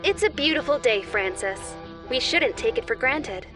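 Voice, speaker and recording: Gentle angel host for a kids' cartoon, played by a woman: slightly high, soothing, instructive, music underneath. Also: animated, moderate pace. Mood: gentle, earnest. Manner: soothing, instructive, addresses the young viewer directly. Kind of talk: children's educational show dialogue. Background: background music.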